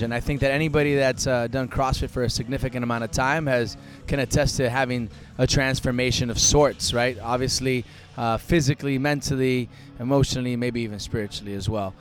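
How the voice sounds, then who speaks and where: A man talking casually as a podcast guest, relaxed and joking, with many fillers. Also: medium pitch, brisk, hardly any pauses, soft background music. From United States, Cuban family. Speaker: co-founder of a fitness festival, podcast guest.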